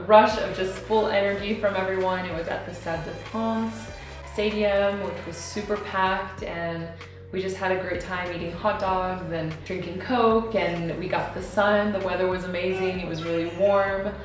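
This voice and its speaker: A woman's voice: medium pitch, a little hiss or hum. Chatty woman, echoey room. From United States. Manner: rambling, heavy use of "like". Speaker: travel vlogger. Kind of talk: casual vlog chat. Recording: echoey room with music behind. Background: background music.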